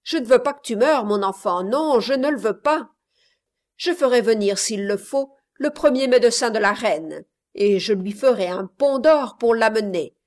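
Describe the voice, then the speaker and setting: A woman voicing a blustering, miserly old father who exclaims loudly and insistently, in a read-aloud story. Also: fairly high pitch, animated, normal speed, lightly acted.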